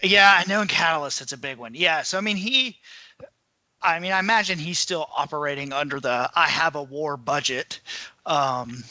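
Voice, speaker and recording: A man's voice: a little high, moderate pace, sharp tone, no room sound, rough recording. A man co-hosting a casual podcast discussion, informal and thinking aloud in fragments. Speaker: podcast co-host.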